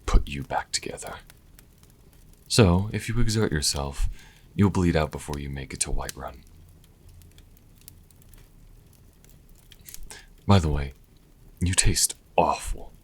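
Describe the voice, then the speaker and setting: A man's voice: rumbling low, breathy edge, full character voice. A man playing a sassy vampire and reluctant caretaker in an audio roleplay, speaking dryly and sardonically to the listener. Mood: firm warning, then sardonic complaint. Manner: dry, sardonic, unhurried. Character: sassy male vampire, former thrall, grudging caretaker.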